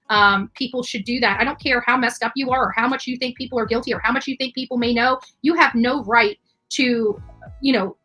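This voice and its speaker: A woman giving unscripted livestream commentary in halting phrases with many filled pauses. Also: on the high side, bright, forceful tone. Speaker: livestream host.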